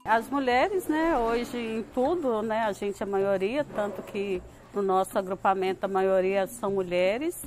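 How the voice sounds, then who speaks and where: A woman's voice: medium pitch, a little slow, hardly any pauses, darkish tone, faint music behind, poor audio. A Brazilian woman speaking as a community member in interview testimony.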